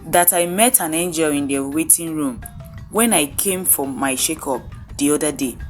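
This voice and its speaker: A woman storyteller playing a gentle, grateful old mother who speaks tenderly and affectionately. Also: sits low, normal speed, soft background music, voice pushed down, natural voice. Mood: amused, fond.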